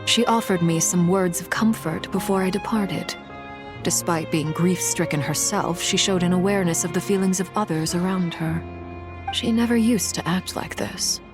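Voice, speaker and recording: Video game noblewoman played by a woman: solemn, restrained, quietly caring, lower register, clear musical underscore. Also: lightly acted. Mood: gentle, grave. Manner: measured, formal, restrained. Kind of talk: dramatic video game dialogue. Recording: prominent music behind the voice.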